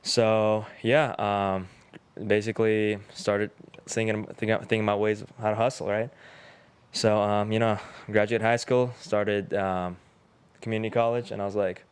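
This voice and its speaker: A man in his twenties from Uzbekistan, a native Russian speaker, with a very clean, smooth and slightly low voice. He talks as a podcast guest, telling his own story in loose, slangy, informal conversation. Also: level tone, moderate pace. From Tashkent, Uzbekistan. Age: 20s.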